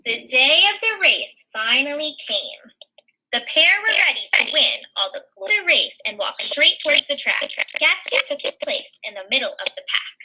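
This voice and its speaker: Female read-aloud teacher, high and very bright, expressive storytime delivery. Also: normal speed, poor audio. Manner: expressive, explaining pictures simply. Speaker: teacher reading a picture book aloud. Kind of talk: animated children's read-aloud with asides.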